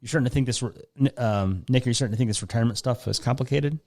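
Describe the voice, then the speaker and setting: A man's voice: mid-range voice, very fast, darkish tone. A man with an American Midwestern accent hosting a casual podcast, rambling through listener questions with jokey asides and interrupting himself. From Lansing, Michigan. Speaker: podcast host.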